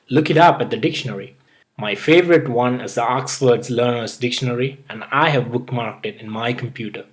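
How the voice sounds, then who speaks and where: A young man with a mild Indian accent, hosting his own channel and reading earnest, step-by-step advice in a scripted tutorial. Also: medium pitch. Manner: earnest, advice-giving, list-by-list delivery. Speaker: YouTube host.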